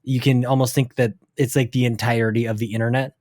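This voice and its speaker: A man's voice: medium pitch, flat delivery, fast, darkish tone, no room sound, clean studio sound. A man hosting a gaming podcast, talking in a casual, thoughtful way. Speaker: podcast host. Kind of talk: casual conversation.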